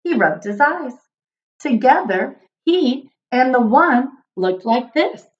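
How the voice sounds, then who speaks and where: A woman narrating a children's storybook in an expressive read-aloud style. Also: high voice, takes it slowly, dull audio.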